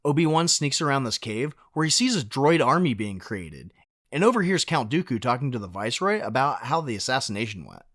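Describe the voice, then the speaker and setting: A man's voice: mid-range voice, sing-song, fairly quick, fairly bright tone, no room sound, soft background music. A man narrating a film recap casually, with a wry, sarcastic, joking delivery.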